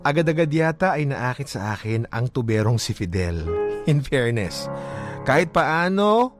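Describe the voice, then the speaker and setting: Man telling a story over clear music. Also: mid-range voice, big pitch swings, unhurried. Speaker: story narrator. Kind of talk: dramatised first-person storytelling over music. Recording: prominent music bed under voice.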